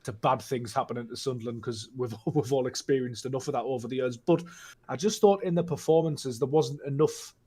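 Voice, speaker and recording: A man's voice: mid-range voice, fairly quick, a little mellow, husky. A man giving casual, thoughtful football analysis as a guest, often restarting his sentences. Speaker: guest pundit.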